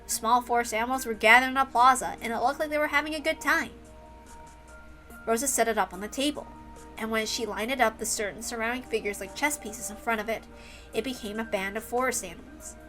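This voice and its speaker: A woman narrating a story aloud as an expressive reader. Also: on the high side, fairly quick, faint music behind.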